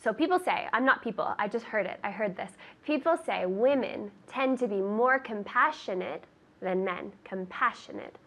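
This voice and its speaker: A woman's voice: mid-range voice, lively intonation, moderate pace, a bit bright. A woman giving an interactive English lesson, encouraging and repeating words to model pronunciation. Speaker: English teacher and presenter. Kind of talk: interactive language lesson, prompting and correcting.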